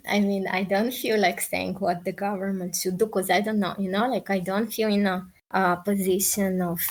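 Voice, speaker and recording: A woman with a Bulgarian accent speaks as an interview guest at a middling pitch. Her delivery is conversational and informal, and she often qualifies and rephrases her points while thinking aloud. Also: fairly bright tone, poor audio. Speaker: podcast guest, peace activist.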